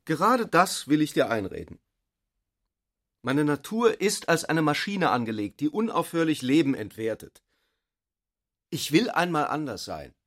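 An actor playing a serious, doubting narrator and lover in a literary radio drama, speaking in a measured, deliberate way. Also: male voice, fairly high pitch, sing-song, dry recording, clean studio sound. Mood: serious, resolute.

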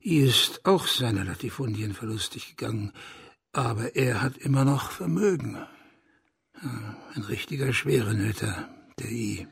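A burly, broad-shouldered hunter in a radio drama. His voice is very husky and rough, crackling with gravel. He rambles on in an earthy, blunt way, like a talkative country gentleman. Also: mid-range voice, a little slow, heavily acted. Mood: garrulous, nostalgic. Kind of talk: German radio drama acting.

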